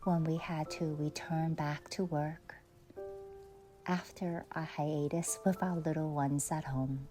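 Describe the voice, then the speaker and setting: A woman leading a guided meditation in a soft, soothing, unhurried way with long pauses, often trailing off into breathiness. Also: low-pitched, fairly even intonation. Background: faint music.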